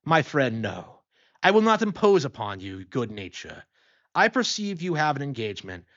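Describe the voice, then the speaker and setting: A man voicing a courteous, scheming nobleman in a storybook tale, coaxing with polite, deliberate concern. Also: mid-range voice, big pitch swings, a bit bright, lo-fi sound. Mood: feigned concern.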